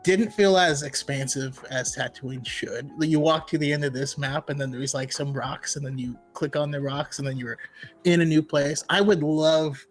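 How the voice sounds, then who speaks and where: A man with a slightly high voice chats casually about games as a podcast co-host. He is enthusiastic and tends to ramble excitedly. Faint music plays behind him. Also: moderate pace. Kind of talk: casual enthusiastic gaming chat.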